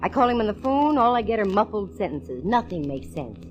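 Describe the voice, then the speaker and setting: An actress playing a confident, nosy private eye in a breezy, slangy 1940s radio style. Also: female voice, medium pitch, big pitch swings, fairly quick, natural voice. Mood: brisk, exasperated. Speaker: lead actress in a radio detective serial. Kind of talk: 1940s radio acting, hard-boiled narration and dialogue. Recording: vintage radio show, music behind.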